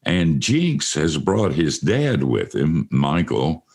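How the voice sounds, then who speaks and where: Deep gravelly older man. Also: wildly swooping pitch, takes it slowly, a little mellow. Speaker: host. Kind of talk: casual studio chat.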